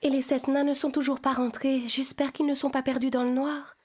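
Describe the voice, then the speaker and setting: A woman voicing a sweet, caring young princess with a gentle, concerned manner in a children's cartoon. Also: high voice, level tone, a little fast, voice pushed up, natural voice.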